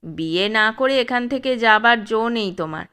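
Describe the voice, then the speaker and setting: A woman's voice: on the high side, lively intonation, normal speed, bright, forceful tone. A woman voicing a harsh, commanding old male dacoit chief in a spoken storybook. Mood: menacing. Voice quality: harsh, gruff. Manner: commanding, threatening.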